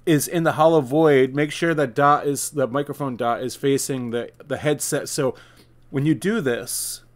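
A man's voice: mid-range voice, steady tone, moderate pace. A man in his forties presenting a casual step-by-step tech tutorial, plain-spoken and speaking directly to viewers. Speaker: video creator, tutorial presenter. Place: indoor room, seated at a desk.

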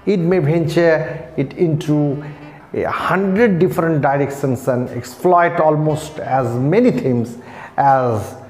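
A man in his forties with an Indian Bengali accent delivers a scripted literature lecture in a formal, deliberate, halting way. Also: on the high side, a little mellow. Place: small bare-walled indoor room. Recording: echoey room.

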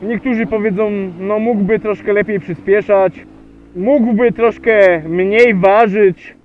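A man with a high voice talks casually into a helmet mic while he rides a motorcycle. He pauses often and fills the gaps with little hesitations. The sound is tinny and narrow, with faint engine and wind noise underneath. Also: steady tone. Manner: chatty, hesitant with many fillers. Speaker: motovlogger. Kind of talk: casual riding vlog narration. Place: on a motorcycle riding on a road outdoors.

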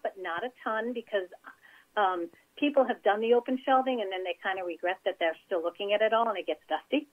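A woman interior designer giving chatty, practical advice as a guest in an interview. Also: medium pitch. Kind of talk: casual interview over phone. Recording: tinny phone line.

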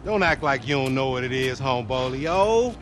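Guy doing cocky young gangbanger in a game, animated voice, noisy background. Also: medium pitch, normal speed, natural voice. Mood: preachy, accusing. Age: young adult. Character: brash, boastful young gangbanger. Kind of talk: video game voice acting.

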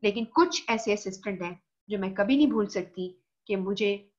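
A woman's voice: medium pitch, normal speed, darkish tone, lo-fi sound. A woman lecturer with a Pakistani Urdu accent, speaking emphatically to her students and mixing in English phrases. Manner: emphatic, mixes in English, addresses students directly. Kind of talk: recorded lecture. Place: voice-over for screen recording.

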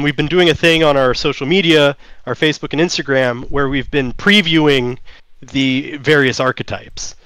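A man's voice: a little high, a bit bright, dry recording, poor audio. A man whose first language is German, explaining his tabletop game in an interview, with many fillers and restarts. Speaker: game designer guest. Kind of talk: interview answers.

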